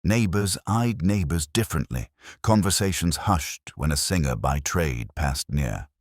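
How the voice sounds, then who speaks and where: A man with an extremely low, husky voice narrates a detective mystery. His pitch moves in lively sweeps while he keeps a measured, literary storytelling manner. The sound is close and dry. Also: no echo.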